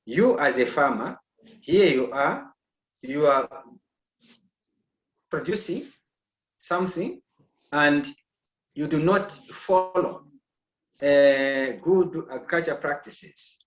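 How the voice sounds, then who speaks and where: A man's voice: fairly high pitch, expressive, slow pace, pauses often. A man from Zambia lecturing an online agriculture class, often checking in with "okay?". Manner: repeats "okay?" to check understanding. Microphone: video call. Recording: echoey, dull video call audio.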